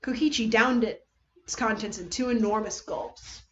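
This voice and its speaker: High-voiced woman narrating dramatic fiction. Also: measured pace, highs cut off, very noisy. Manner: expressive, theatrical reading. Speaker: streamer reading fan fiction.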